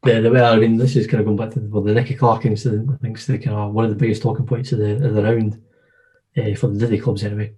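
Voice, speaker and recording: Mellow-voiced Scottish man chatting. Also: lowish voice, little pitch movement, fast, poor audio. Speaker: football podcast co-host. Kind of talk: casual football chat.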